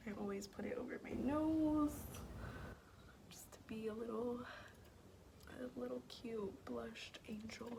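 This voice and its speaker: Young woman beauty vlogger, raspy voice, casual tutorial chatter with whispered asides, noisy home recording. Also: a little high, steady tone, a little slow, long pauses. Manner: chatty, slips into whispers while working. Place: home, at a mirror.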